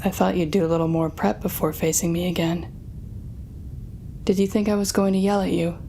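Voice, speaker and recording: A woman's voice: lowish voice, fairly even intonation, fairly quick, creaky, dark tone, slight background noise. A woman playing a weary, sardonic telepathic supervillain in an audio roleplay, speaking wryly and dramatically straight to one listener. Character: weary sardonic female telepathic supervillain, bitter yet fair.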